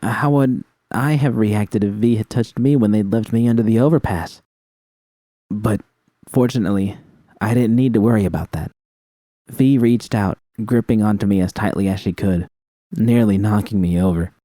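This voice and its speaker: Mellow, deep male story narrator. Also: moderate pace, dry recording. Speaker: audiobook narrator of fan fiction. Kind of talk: dramatic first-person scripted narration.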